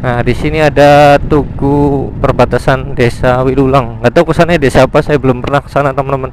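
A man giving relaxed, casual running commentary to viewers as he rides a motorcycle through village lanes. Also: medium pitch, brisk, a little hiss or hum, rough recording. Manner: relaxed, addresses viewers as friends, thinks aloud. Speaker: motovlogger. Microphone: helmet or body-mounted action camera.

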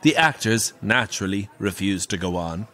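An actor playing a camp, wry, middle-aged Irish pantomime dame who relishes telling grisly ghost stories in an audio drama. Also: male voice, on the low side, expressive, normal speed, pushed and bright. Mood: ominous, relishing. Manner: theatrical, wry, relishing the tale.

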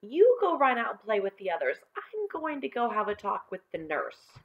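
A woman voicing a stern but concerned female schoolteacher in a children's storybook, speaking in a firm, measured way. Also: medium pitch, huge pitch swings, phone-quality sound, voice pushed up. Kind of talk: children's storybook read-aloud.

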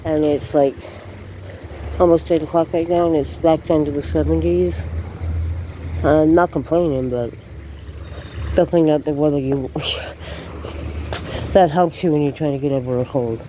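A woman's voice: sits low, steady tone, a little slow, long pauses, mellow. A woman with a congested cold chatting casually while walking outdoors, rambling and laughing as she tells stories. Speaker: beauty vlogger. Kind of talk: casual walking vlog chat. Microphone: handheld phone camera. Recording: noisy outdoor phone recording.

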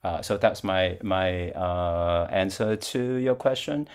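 An older man from Vietnam, a Buddhist monk, calmly giving a dharma talk over a video call, speaking slowly and patiently with frequent restarts. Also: low-pitched, smooth. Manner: calm, slow and patient, with restarts. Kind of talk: dharma talk answering questions. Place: plain-walled room at home.

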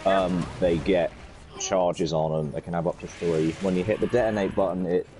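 A man's voice: low-pitched, fairly even intonation, moderate pace, darkish tone. A man casually explaining game mechanics to friends, laid-back and patient. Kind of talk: casual gaming chat. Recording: game music behind.

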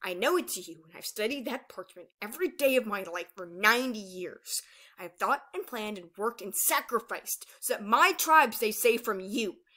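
A woman's voice: mid-range voice, animated, moderate pace, sharp tone. A woman voicing a menacing old female dragon villain in a fantasy story, taunting and grandiose. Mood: cold, threatening.

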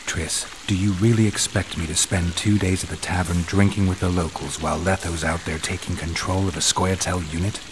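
A man playing a stoic, brooding monster hunter in a video game, gravelly, terse and deadpan. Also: sits low, fairly quick, few pauses, background noise, close to an everyday voice. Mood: resolute, guarded.

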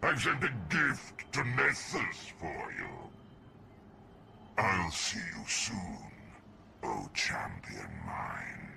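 An actor playing a pompous, decadent alien emperor in a video game, with a gravelly, booming, theatrical voice that slides down to whispers as he savors his words. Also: wildly swooping pitch, extremely slow, noisy background, heavily acted. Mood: gloating. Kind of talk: video game cutscene dialogue.

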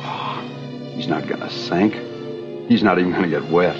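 An actor in his thirties playing a charming, breezy young swindler in an old TV western, smooth-talking and nonchalant. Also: low voice, moderate pace, natural voice. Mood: breezy reassurance. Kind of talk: 1960s TV western acting. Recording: dull old TV soundtrack under music.

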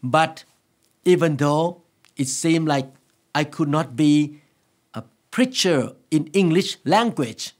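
A man in his sixties from Thailand with a Thai accent, preaching to camera as a pastor in an earnest, emphatic, deliberate way. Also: a little high. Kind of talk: sermon to camera. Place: quiet indoor studio set. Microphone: lapel microphone.

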